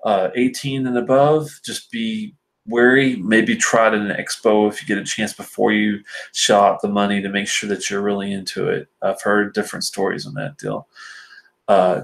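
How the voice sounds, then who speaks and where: A man's voice: fairly low pitch, fairly bright tone, low-quality recording. Stuffy-nosed man chatting with viewers. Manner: rambling, filler-heavy, answering viewer comments. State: stuffy, sinusy from allergies. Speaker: whisky reviewer host. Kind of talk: casual live-stream chat answering viewers.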